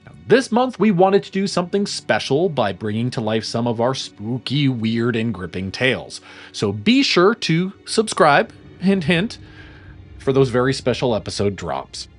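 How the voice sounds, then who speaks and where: A man presenting a scripted promotional outro with an animated, playful manner. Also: medium pitch, measured pace, faint music behind.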